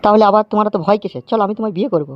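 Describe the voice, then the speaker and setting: Man voicing a fast-talking, wheedling cartoon suitor. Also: high-pitched, lightly acted. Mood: coaxing. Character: wheedling, eager male suitor pushing for marriage. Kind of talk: animated Bengali comedy dialogue. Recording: dull lo-fi track, faint music.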